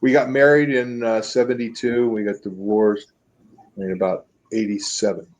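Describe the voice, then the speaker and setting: A man in his seventies telling stories as a guest in an interview, rambling and halting with many restarts, speaking over a video call from a room at home. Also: lowish voice, flat delivery. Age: 70s. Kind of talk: casual storytelling.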